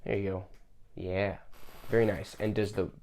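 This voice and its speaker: A man talks through a casual watch review, relaxed and thinking aloud, often restarting his sentences. His voice sits a little low and sounds warm and mellow. It is slightly off, since he is getting over a cold. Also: normal speed, a little hiss or hum. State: voice a bit off from a cold.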